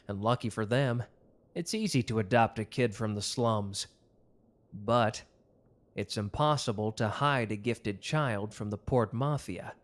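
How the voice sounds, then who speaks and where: A man voicing a protective young male mafia member in an ASMR roleplay, speaking softly and reassuringly. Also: mid-range voice, close to an everyday voice. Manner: soft, intimate, reassuring.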